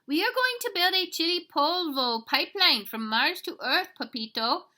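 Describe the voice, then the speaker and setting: A tiny, boisterous male Chihuahua from a children's storybook played by a woman. The voice is high and piercing, leaping around in pitch, with a broad Mexican Spanish accent and lots of exclaiming. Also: animated, moderate pace, pushed and bright, full character voice. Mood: excited. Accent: put-on Mexican Spanish.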